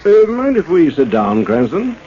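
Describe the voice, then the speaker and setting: An actor playing a smooth-talking, scheming industrial promoter in a 1940s radio drama, politely insinuating with hesitations. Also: male voice, on the high side, wildly swooping pitch, normal speed, warm tone. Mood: oily, cautious. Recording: muffled, hissy 1940s radio recording.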